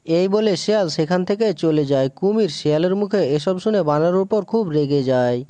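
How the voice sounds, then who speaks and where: A male narrator reads a scripted fable in a mellow voice pitched a little high. The sound is close and dry, with no room to it. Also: expressive, moderate pace, no echo.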